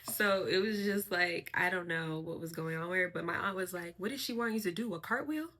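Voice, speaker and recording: A young woman sharing her life in a casual vlog, speaking softly and reflectively with a fairly even, monotone delivery. Her voice sits a little low in pitch but has a bright, clear edge. She pauses on fillers now and then as she thinks. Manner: soft-spoken, reflective, with frequent fillers and light laughs. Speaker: vlogger.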